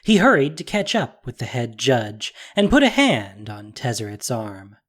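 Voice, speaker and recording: A man's voice: medium pitch, very animated, normal speed, fairly bright tone. A man narrating a fantasy audio drama in a dramatic, scripted storytelling style. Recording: faint music behind voice. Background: faint music.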